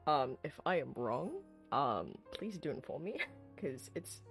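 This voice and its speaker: A tired, hoarse streamer who chats casually with viewers while replaying a horror visual novel, rambling with lots of ums and restarts. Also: medium pitch, big pitch swings, a little slow, soft background music. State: hoarse, sleepy. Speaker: streamer host.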